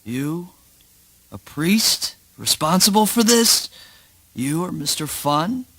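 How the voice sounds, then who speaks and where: A man's voice: on the high side, big pitch swings, slow, a bit bright, exaggerated voice, rough recording. A man playing an anxious, bossy underling in a spoken word play, theatrical and commanding. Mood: alarmed.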